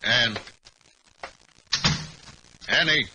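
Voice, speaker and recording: An actor playing a swaggering, menacing American outlaw boss in an old-time radio drama. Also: male voice, mid-range voice, drawn-out words, noisy background. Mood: affectionate, folksy. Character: swaggering, menacing outlaw gang leader who teases roughly.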